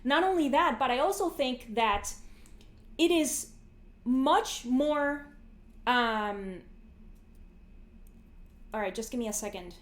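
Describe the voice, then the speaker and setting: A woman's voice: sits high, lively intonation, slow, long pauses. A woman in her forties hosting a podcast, talking casually and philosophically, often rephrasing mid-thought in a rambling way. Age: 40s. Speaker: podcast host. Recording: roomy, echoey sound.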